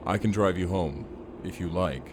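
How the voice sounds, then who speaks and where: A man's voice: low-pitched, moderate pace, heavy background noise, natural voice. A man playing an earnest wasteland barbarian turned corporate fighter in an audio roleplay, speaking in stilted, literal, short sentences. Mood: calm, friendly. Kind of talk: immersive first-person audio roleplay acting.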